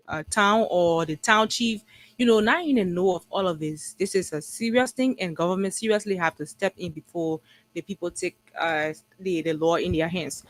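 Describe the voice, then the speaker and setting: A woman's voice: fairly low pitch, low-quality recording. A woman with a Liberian accent hosting a live call-in talk show and giving commentary. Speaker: radio call-in show host.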